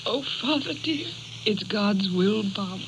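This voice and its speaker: An actress playing a tender, grieving mother in an old radio drama, speaking in a sorrowful, halting way. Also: medium pitch, expressive. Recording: dull, noisy old radio recording.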